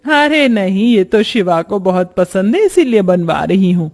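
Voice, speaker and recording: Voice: medium pitch, very animated, a little slow, faint music behind. A man voicing a middle-aged mother-in-law in a family cartoon, bossy but caring, giving familiar instructions.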